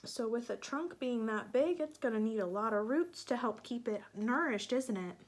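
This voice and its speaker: A woman's voice: on the high side, animated, normal speed, a little hiss or hum. A woman teacher reading a picture book aloud to young children, stopping to explain things and ask them questions.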